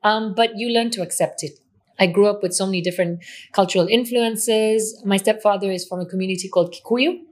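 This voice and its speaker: Kenyan-accented woman guest, open and self-mocking, ordinary pitch, easy laughter. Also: fairly quick, darkish tone. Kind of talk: candid conversational storytelling.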